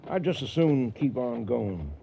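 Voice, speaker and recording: An actor playing a stubborn, devout veteran cattle drover in a western radio drama. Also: male voice, mid-range voice, very animated, a little slow, creaky. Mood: stubborn, confident. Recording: noisy, dull old radio drama recording.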